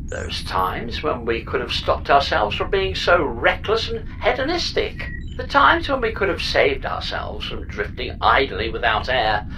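A woman's voice: rumbling low, sing-song, measured pace, hardly any pauses, pushed and bright, a little hiss or hum, heavily acted, rough recording. A woman playing a chatty, flippant and reckless spaceship pod computer in a comedy audio drama, talking in a breezy, mock-grand theatrical way. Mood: breezy, wistful.

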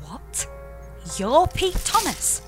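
A woman's voice: high voice, very animated, extremely slow, faint music behind, exaggerated voice. A woman playing a lone space traveller in an audio comedy, exasperated, sarcastic and given to swoony flirting. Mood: smitten. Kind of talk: scripted audio comedy sci-fi drama.

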